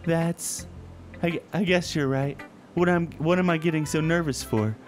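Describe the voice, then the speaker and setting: A shy, sullen teenage girl from a visual novel, played by a man. The voice is dark and low, bookish and gloomy in temper, with music playing behind it. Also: lightly acted. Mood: nervous. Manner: stammering when nervous, otherwise measured and bookish.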